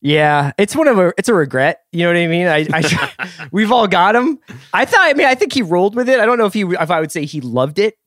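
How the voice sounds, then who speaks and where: A man's voice: sits high, big pitch swings, a little fast, a bit bright, dry recording, studio quality. An American man hosting a podcast in a casual, jokey, exuberant manner. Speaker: podcast host. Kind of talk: casual chat and sponsor read.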